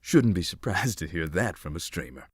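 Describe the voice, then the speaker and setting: An actor playing a dry, sardonic man who believes in ghosts, speaking deadpan in game dialogue. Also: deep voice, moderate pace, husky, no echo, full character voice.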